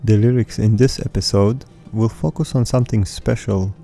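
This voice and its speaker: A man in his thirties whose first language is Russian, hosting a podcast and reading his own lyrics in an earnest, measured recitation. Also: fairly low pitch, gravelly, dark tone. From Russian-speaking background. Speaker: podcast host. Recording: faint music bed behind voice.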